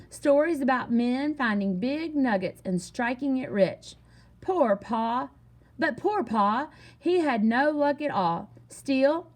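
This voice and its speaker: A woman reading a children's story aloud in an animated, expressive way with emphatic delivery. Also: a little high. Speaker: storybook reader.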